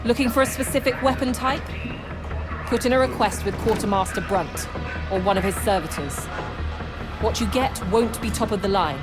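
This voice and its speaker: An actress playing a practical military armory overseer, giving a matter-of-fact tutorial briefing in a video game. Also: female voice, mid-range voice, moderate pace, over music, lightly acted. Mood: businesslike.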